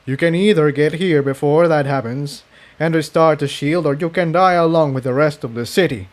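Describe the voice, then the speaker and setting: A man voicing a cruel, smug male pony villain in a story reading, with a taunting, drawn-out manner. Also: a little high, voice pushed up, lightly acted. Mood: cold menace.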